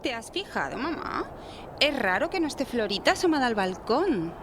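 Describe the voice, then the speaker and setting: Radio play daughter: spiteful twenty-something woman, high, sarcastic, faint street noise. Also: sing-song, moderate pace. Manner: sarcastic, insinuating. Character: spiteful, gossiping unmarried woman of twenty-five. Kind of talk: radio drama dialogue.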